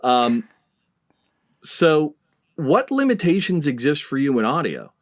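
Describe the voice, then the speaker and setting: A man's voice: a little high, big pitch swings, slow pace. A man co-hosting a casual interview, framing a question in a conversational way. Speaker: podcast interviewer and playwright.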